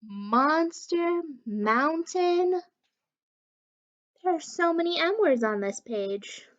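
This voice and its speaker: A woman reads to young children in a high, unhurried voice. She is animated and encouraging, speaking straight to her little listeners like a teacher at story time. The sound is somewhat dull and lo-fi. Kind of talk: expressive read-aloud storytelling for young children.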